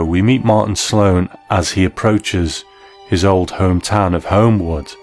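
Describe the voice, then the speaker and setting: Low-voiced male reviewer over music. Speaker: reviewer and presenter. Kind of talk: conversational scripted review commentary.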